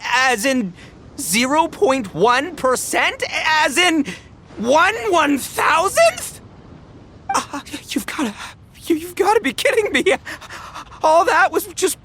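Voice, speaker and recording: A bombastic, excitable young man from a video game. His delivery leaps widely in pitch, swinging between solemn earnestness and big dramatic outbursts. There is faint ambient noise behind him. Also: slow, heavily acted. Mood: incredulous despair. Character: boisterous, theatrical young man, earnest beetle-battling enthusiast. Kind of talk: animated video game acting.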